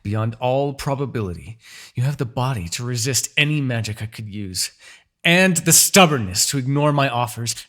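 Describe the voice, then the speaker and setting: A man playing a proud, vengeful demon king in a dramatic fantasy audio roleplay, with a husky, gravelly voice and a theatrical, gloating manner. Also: medium pitch. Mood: indignant, furious. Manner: theatrical, gloating, with sighs and dark chuckles.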